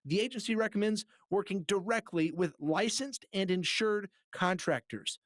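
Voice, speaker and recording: A man's voice: high-pitched, moderate pace, a bit bright, no echo, clean studio sound. A man reporting as a TV investigative journalist, reading scripted narration for a news package.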